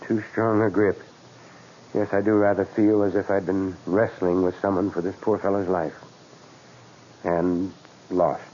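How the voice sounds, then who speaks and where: A man's voice: deep voice, flat delivery, a little slow, pauses often, warm tone. A man acting a tired, dedicated brain surgeon who is earnest and commanding, in a 1940s radio drama. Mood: defeated. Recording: muffled, noisy 1940s radio recording.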